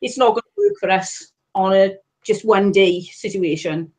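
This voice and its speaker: A woman's voice: mid-range voice, moderate pace, a little mellow. A woman with a Welsh accent from South Wales, a guest reseller on a live stream, chatty and candid with wry asides. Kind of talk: casual live-stream chat.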